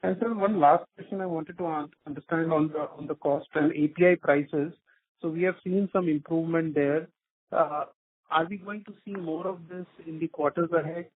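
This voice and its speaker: A man's voice: a little high, steady tone, moderate pace. A man politely asking an analyst's questions on an earnings call. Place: phone call. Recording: narrow muffled phone line.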